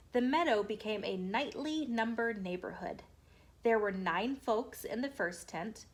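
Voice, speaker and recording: A woman hosting storytime, reading a children's book aloud in a measured, explanatory way. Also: fairly high pitch. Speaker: storytime host.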